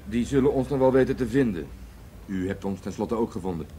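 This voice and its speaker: Actor as a low-voiced, confident undercover dealer. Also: male voice, fairly quick, dark tone, natural voice. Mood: assured. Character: cool, confident policeman posing as drug dealer. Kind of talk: Dutch radio play acting. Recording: noisy radio drama recording.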